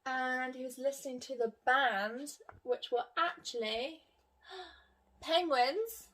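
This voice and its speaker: Female children's story reader, high-pitched and leisurely, teacherly, expressive, engaging little listeners directly. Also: rough recording. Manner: expressive, addressing young listeners with questions. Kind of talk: children's picture-book read-aloud.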